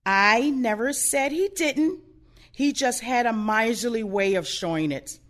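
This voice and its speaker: A woman playing a blunt, sardonic, protective older woman in an audio play, outspoken and expressive. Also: a little high, measured pace. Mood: bitter, blunt.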